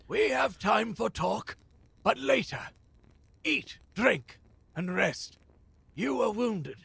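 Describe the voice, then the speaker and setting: Gravelly, high-pitched ominous philosopher. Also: male voice, sing-song, slow pace, fairly bright tone, dry recording, exaggerated voice. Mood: grave, secretive. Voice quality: gravelly, husky. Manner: theatrical, portentous, archaic phrasing. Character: cursed, frail male philosopher and seer, ominous and solemn. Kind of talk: fantasy audio drama acting.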